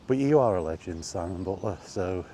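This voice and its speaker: A middle-aged man in a casual, rambling vlog chat, speaking conversationally with halting pauses while out walking. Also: deep voice, expressive, warm tone. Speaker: gaming vlogger. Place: outdoors, walking. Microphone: microphone with wind guard. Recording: windy outdoor recording, background noise.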